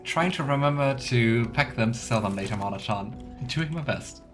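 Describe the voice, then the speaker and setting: A man's voice: medium pitch, lively intonation, moderate pace. A man hosting a video game let's play, commenting and reading game texts aloud over game music. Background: soft game music.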